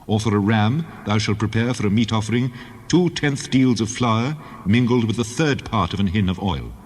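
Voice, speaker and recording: An actor playing God in a dramatized scripture reading, solemn, formal and commanding. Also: male voice, moderate pace, husky. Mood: solemn, instructing. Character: God speaking law and judgement, solemn and commanding. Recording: long booming reverb.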